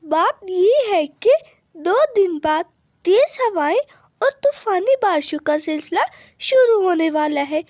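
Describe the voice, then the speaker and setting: A woman's voice: very high voice, a little mellow, dry recording, studio quality, pitched above the performer's own voice, exaggerated voice. A woman voicing a male eagle king of the jungle, commanding and caring, declaiming with authority in a cartoon story.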